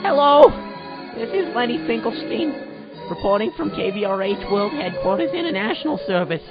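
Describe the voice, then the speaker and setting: A hapless field reporter played by a man swoops up and down nervously and stumbles over words. It is a radio comedy voice, slightly muffled, with music behind. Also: exaggerated voice. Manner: stammering, high, animated.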